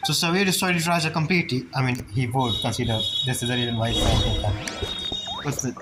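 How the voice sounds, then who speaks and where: A male streamer with a mid-pitched voice reacts casually to a game. He is blunt and slangy, repeating short interjections over clearly audible music. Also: steady tone, fast, low-quality recording. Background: game music and dialogue.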